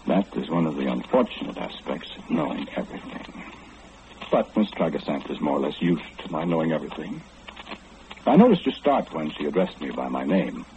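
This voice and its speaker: An actor in an old-time radio play, playing a formal, fussy gentleman who calmly knows everything and speaks with matter-of-fact precision. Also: very deep voice, fairly quick, heavily acted. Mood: polite, composed. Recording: dull, noisy old radio recording.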